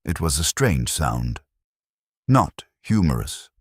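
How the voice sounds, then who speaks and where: Man, extremely low pitch, grave scripted war narration, clipped phrasing, lively intonation, close dry sound. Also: slow, husky, dry recording. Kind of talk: first-person scripted war story narration.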